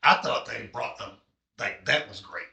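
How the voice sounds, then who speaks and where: A man who is a wrestler and actor, chatting as a guest in a casual interview, rambling with many restarts and a loud voice. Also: lowish voice, animated, moderate pace. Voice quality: gravelly, loud voice. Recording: echoey room.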